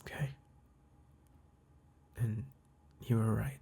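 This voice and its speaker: A man with a husky, breathy voice speaking softly and hesitantly into a close mic, whispering a tender apology as a boyfriend to the listener. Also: lowish voice, steady tone, slow pace. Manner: soft, hesitant, tender, with sighs and restarts. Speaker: boyfriend in a roleplay audio. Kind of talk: intimate apology roleplay to the listener, ASMR-like.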